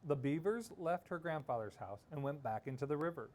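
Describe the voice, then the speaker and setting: A man reading a children's story aloud expressively, with theatrical delivery and dramatic pauses. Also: a little high, expressive, a little mellow. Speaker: storybook reader.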